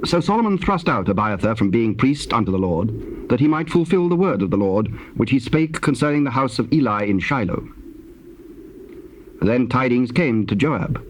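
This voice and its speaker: A man narrating dramatized scripture in a formal, solemn and measured style. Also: mid-range voice, animated. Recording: noisy, hissy old cassette tape.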